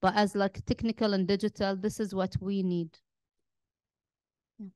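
A woman's voice: mid-range voice, level tone, moderate pace, a little mellow, clean tone, no room sound. Hesitant woman. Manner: hesitant, many fillers and restarts. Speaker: panelist. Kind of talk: conference panel discussion. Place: conference room.

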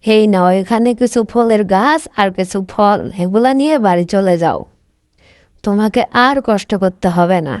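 A woman's voice: medium pitch, animated, moderate pace, darkish tone, no echo, clean studio sound, lightly acted. A woman voicing a grateful, generous female serpent spirit in a cartoon. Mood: kind, generous. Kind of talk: cartoon voice acting.

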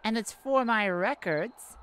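Slow-paced, playful goddess of light, voiced by a woman. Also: a little high, animated, fairly bright tone, a little hiss or hum. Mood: curious, lofty. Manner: theatrical, teasing, mock-offended, with pauses. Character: playful, haughty yet kindly goddess of light. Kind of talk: ASMR audio roleplay addressed to listener.

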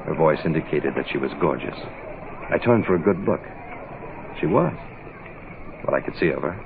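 Super low voiced american detective, wry, old radio, tinny w music. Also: male voice, expressive, normal speed, vocal fry, background noise, full character voice. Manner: dry, hard-boiled. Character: cool, wry private investigator narrating his case. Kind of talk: 1960s radio noir narration and dialogue. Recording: tinny lo-fi 1960s radio recording, music behind.

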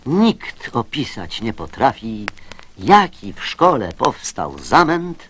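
A man's voice: lowish voice, fairly bright tone. A man reciting children's verse in a theatrical style. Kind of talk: expressive poem recital.

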